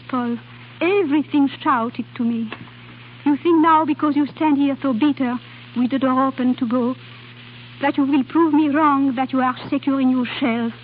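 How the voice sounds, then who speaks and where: An actress playing a passionate, volatile young foreign woman in a vintage radio drama, with halting, dramatic delivery and uneven foreign-sounding English. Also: sits high. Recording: old radio broadcast, tinny band-limited, hiss.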